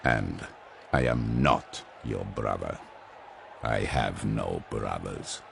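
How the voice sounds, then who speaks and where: An actor playing a grim, cold, proud berserker champion of a Chaos warband in an audio drama, speaking in subdued, menacing tones with a gravelly voice. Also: male voice, soft background music, some chatter behind, exaggerated voice. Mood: contemptuous. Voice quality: gravelly, very deep. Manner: subdued, slow, menacing delivery.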